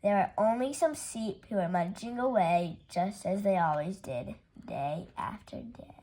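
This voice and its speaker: Slow, expressive woman reading a story. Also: mid-range voice. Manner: unhurried, expressive read-aloud with playful character voices. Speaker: storytime reader. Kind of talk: reading a rhyming picture book aloud.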